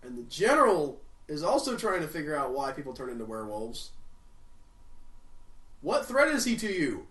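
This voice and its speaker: Sarcastic male movie reviewer, lively pitch. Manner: sarcastic, swings into loud outraged rants. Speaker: film reviewer. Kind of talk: comedic movie review narration. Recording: roomy echo, mic at a distance.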